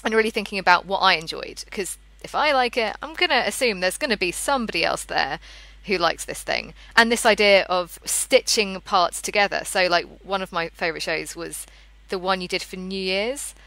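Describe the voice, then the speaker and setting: Bright-voiced woman, dry close sound. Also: mid-range voice, normal speed, creaky, dry recording, low-quality recording. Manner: enthusiastic, appreciative. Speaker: podcast host. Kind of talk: casual interview conversation.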